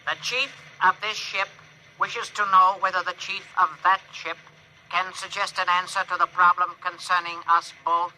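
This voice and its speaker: A man's voice: high-pitched, steady tone, normal speed, pushed and bright, a little hiss or hum, rough recording. An actor in a 1950s radio drama voicing an earnest, cautious male alien with stilted, formal phrasing through a radio-transmission effect. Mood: formal, wary.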